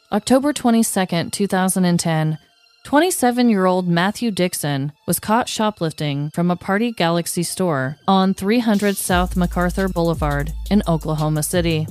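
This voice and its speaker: A woman narrates a scripted true-crime tale as a podcast host. Her voice sits a little low and her telling is measured and articulate. Faint music plays underneath. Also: a little mellow.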